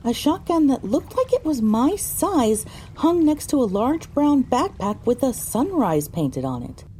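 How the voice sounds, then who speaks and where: A woman's voice: sits high, sing-song, measured pace, dark tone, slight background noise, poor audio. A woman narrating an audio drama in the first person from a script.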